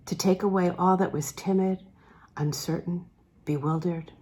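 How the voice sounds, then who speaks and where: A woman narrates literary fiction with a dark, mellow tone at a middle pitch. Her reading is measured and expressive, shaped by deliberate pauses. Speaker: audiobook reader. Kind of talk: literary narration read aloud.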